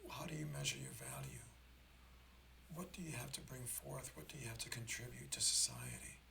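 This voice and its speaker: A slightly hoarse man hosting a reflective spiritual talk, speaking in a halting, deliberate way and often checking in with the listener. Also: mid-range voice, wildly swooping pitch, pushed and bright, a little hiss or hum. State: slightly hoarse after a throat infection. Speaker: host of a spiritual learning channel.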